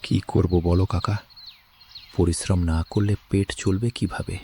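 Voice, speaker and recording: A man's voice: sits low, level tone, a little slow, warm tone, slightly rough, full character voice. A man playing a poor, honest, hardworking farmer in a cartoon fairy tale, speaking earnestly and plaintively. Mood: hopeful, gentle. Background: music.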